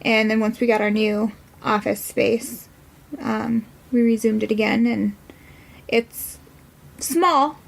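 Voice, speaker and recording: Creaky-voiced woman, fairly high and even. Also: slow pace, poor audio. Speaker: podcast guest from a support non-profit. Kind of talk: conversational interview talk.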